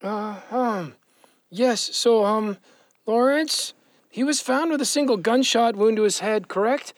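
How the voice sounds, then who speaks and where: A woman's voice: a little high, measured pace, no echo, clean studio sound, lightly acted. A woman playing an eager but awkward detective in an audio drama, speaking hesitantly. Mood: awkward, flustered.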